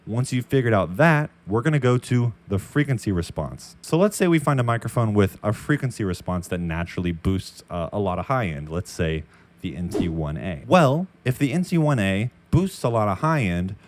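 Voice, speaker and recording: A young man in his twenties hosting a casual Q and A vlog, explaining microphone choices in a frank, chatty way, recorded close on a dynamic mic in a makeshift space. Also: lowish voice. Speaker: audio YouTuber. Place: temporary makeshift room near a construction site.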